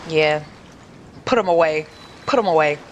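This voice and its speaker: Lowish-voiced woman reacting to a game. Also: moderate pace, a little hiss or hum, rough recording. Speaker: streamer. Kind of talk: casual gameplay commentary. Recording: game music behind the voice.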